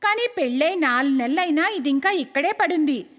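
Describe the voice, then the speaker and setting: Woman as young bossy housewife, gushing, dramatic, high voice, cartoon story, reverberant. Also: animated, fairly quick, fairly bright tone. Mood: exasperated. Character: scheming, sugary young newlywed sister-in-law, bossy at home. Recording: long hall-like reverb.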